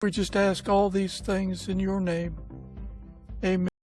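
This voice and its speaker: A man hosting a conversational podcast, with halting, gentle phrasing. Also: high voice, flat delivery, soft background music. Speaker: podcast host. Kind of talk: conversational interview and informal prayer.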